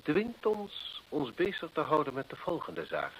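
An actor playing a sharp, dryly superior male detective in a radio play, speaking formally and probingly with teasing dryness. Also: fairly high pitch, huge pitch swings, a little slow, slight background noise, exaggerated voice. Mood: brisk, dry. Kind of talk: Dutch radio drama acting. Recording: muffled vintage radio play recording.

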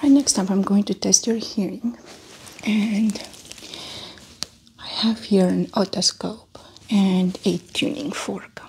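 Female ASMR doctor, breathy half-whisper, leisurely pace, gentle and reassuring, slight noise behind. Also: medium pitch, fairly even intonation, takes it slowly, pauses often. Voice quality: soft, breathy, often whispered. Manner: gentle, reassuring, step-by-step instructions. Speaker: ASMR performer playing a doctor. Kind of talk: soft-spoken ASMR medical exam roleplay.

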